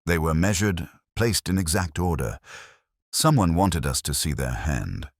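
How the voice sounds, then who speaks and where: A man playing a mild, thoughtful police inspector in a mystery story, speaking in a measured, cryptic and formal way. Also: rumbling low, expressive, dry recording, clean studio sound, full character voice. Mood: quietly resolute.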